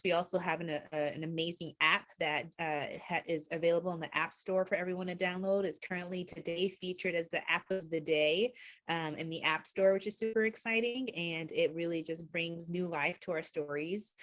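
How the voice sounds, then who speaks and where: A woman's voice: low-pitched, fairly bright tone. A woman in her thirties with an American accent, chatting casually and enthusiastically as a guest on a panel. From born in Ethiopia, grew up in England and the United States. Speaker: editor and author, interview guest.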